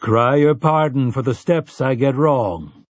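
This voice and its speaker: A man voicing a weary, courtly older gunslinger who speaks in old-fashioned formal phrases, with a husky voice. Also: medium pitch, a little slow, no room sound, studio quality, close to an everyday voice. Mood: warm, formal.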